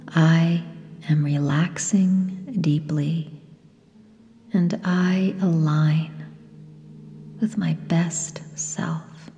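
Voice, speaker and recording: A woman with a soft, breathy, whispery voice leads a guided meditation in short, gentle phrases with long pauses. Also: fairly low pitch, a little hiss or hum. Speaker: yoga nidra guide. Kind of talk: guided meditation, slow and soothing. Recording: long reverb, soft music behind. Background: sound bath music.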